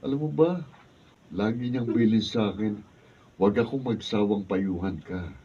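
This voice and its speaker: A man's voice: lowish voice, moderate pace, dark tone, natural voice. A man acting in a Filipino radio drama as a concerned, loyal friend giving earnest advice, with a Filipino accent. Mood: concerned, pleading. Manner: earnest, pleading, admonishing. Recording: distant mic, dull noisy room recording.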